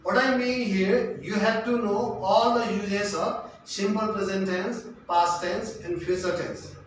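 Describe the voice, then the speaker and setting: A man's voice: sits high, fairly even intonation, a little slow. A man giving an instructional English lesson as a tutor, in an emphatic, repetitive, question-and-answer manner. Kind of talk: instructional lecture. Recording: echoey room, distant mic, faint noise.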